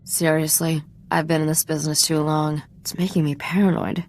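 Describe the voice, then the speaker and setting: A woman's voice: low voice, level tone, moderate pace, darkish tone, slight background noise. A woman playing a cool, cynical treasure hunter in a video game, wry and sardonic.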